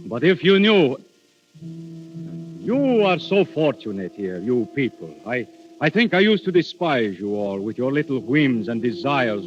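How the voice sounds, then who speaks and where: An actor playing Death as a courtly, brooding, lovelorn foreign prince, in a formal, intense 1930s melodrama style. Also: male voice, mid-range voice. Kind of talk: 1930s screen melodrama acting. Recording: dull vintage film soundtrack with music.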